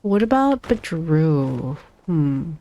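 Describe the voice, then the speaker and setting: A woman's voice: low-pitched, very animated, extremely slow, mellow. A woman streamer casually reading game dialogue aloud, now and then stumbling over the words.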